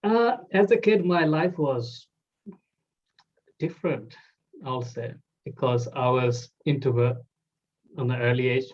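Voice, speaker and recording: A young man speaks at an ordinary mid-range pitch. He talks in loose, run-on phrases full of "like" fillers, in the manner of a relaxed interview host. The recording sounds a little dull and lo-fi. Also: slow, pauses often. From Bangladesh. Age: 20s. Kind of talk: casual interview talk.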